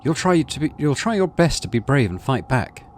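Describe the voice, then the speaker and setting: A man's voice: mid-range voice, big pitch swings, normal speed, slight background noise. A middle-aged man hosting a gaming video, reading story text aloud and adding casual commentary. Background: game music.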